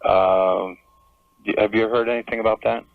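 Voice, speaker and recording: A man calling a radio show, speaking casually and haltingly over the telephone. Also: on the low side, steady tone, gravelly, no room sound. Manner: hesitant, halting. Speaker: caller to a radio advice show. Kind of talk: casual phone conversation. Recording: narrow, tinny telephone line.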